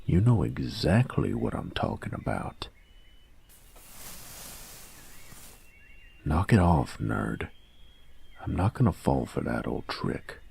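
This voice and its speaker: A man playing a cocky young bully who is secretly soft on his boyfriend in an intimate audio roleplay, gravelly and husky, grudging and teasing in a cool-guy way. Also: rumbling low, full character voice. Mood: exasperated.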